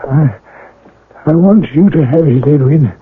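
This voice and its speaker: An actor playing a frail, ailing old father in a radio drama, gentle and worried, speaking haltingly. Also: a little high, lively intonation, a little hiss or hum. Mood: feeble, tender. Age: older. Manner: halting, faltering speech. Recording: tinny old radio broadcast.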